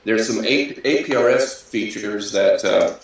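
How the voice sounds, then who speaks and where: A man in his sixties with a bassy, raspy voice, talking casually and hesitantly with many fillers as an interview guest. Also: unhurried. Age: 60s. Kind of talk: casual interview over a video call. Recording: echoey audio captured from a PC.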